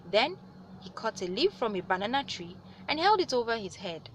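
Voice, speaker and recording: A woman reading a story aloud as host, with animated delivery and dramatic, drawn-out emphasis on words. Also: a little high, slight background noise. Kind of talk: expressive read-aloud storytelling.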